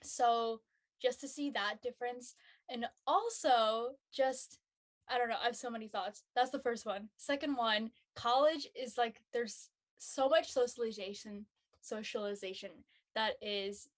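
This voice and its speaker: A woman's voice: a little high, unhurried. A woman chatting casually as a panel guest, jumping from one thought to the next. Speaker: actor on a drama panel. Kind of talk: casual chat.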